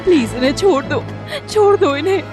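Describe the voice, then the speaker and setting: A woman playing an anxious young wife in an animated horror story. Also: high voice, a little fast, music in the background. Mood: desperate pleading. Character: anxious, pleading young wife, high-voiced. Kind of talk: Hindi animated story voice acting.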